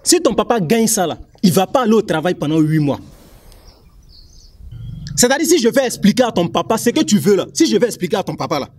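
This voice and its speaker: A man's voice: high-pitched, sing-song, a little fast, darkish tone, far from the mic, slight background noise. Stammering man. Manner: stammering, repeating words, mock broken English. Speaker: comic actor. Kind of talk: comedy sketch.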